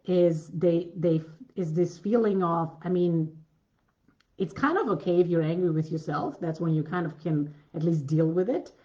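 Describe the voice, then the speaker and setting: A woman with a deep voice giving a coaching masterclass, earnest and repetitive, often restarting her sentences. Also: mellow, dull audio. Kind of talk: masterclass talk.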